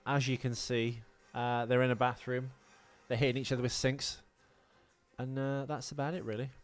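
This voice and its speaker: A man's voice: mid-range voice, level tone, normal speed, soft background music. A man co-hosting a podcast in casual, joking chat, with a rambling, self-deprecating manner. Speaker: podcast co-host.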